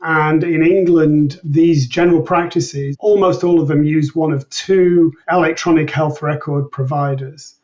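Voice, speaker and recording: A man with a fairly high voice, recorded a little distant and dull. He explains research as an interview guest, formally and precisely, in well-ordered sentences. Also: a little mellow. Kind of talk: measured expert explanation in an interview.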